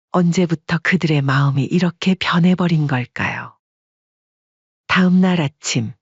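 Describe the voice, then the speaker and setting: A narrator reading a family drama in calm, measured scripted narration. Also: mid-range voice, husky, dry recording, dull audio.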